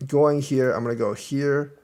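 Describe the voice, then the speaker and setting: A man's voice: medium pitch, steady tone, moderate pace, a little mellow. Easygoing man talking through a board game. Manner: thinks aloud, explaining moves step by step. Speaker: Go teacher reviewing a game. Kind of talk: casual game review commentary.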